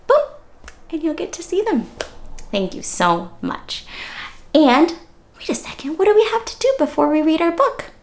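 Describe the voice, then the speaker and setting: A woman hosting an interactive read-aloud for young children, speaking directly to them with questions and exaggerated wonder. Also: a little high. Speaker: children's story-time host and reader.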